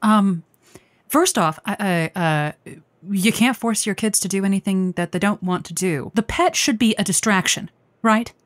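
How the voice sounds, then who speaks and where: A woman playing an earnest, tactful radio advice host who speaks haltingly, in an audio comedy. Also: mid-range voice, dry recording, close to an everyday voice. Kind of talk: scripted audio comedy acting.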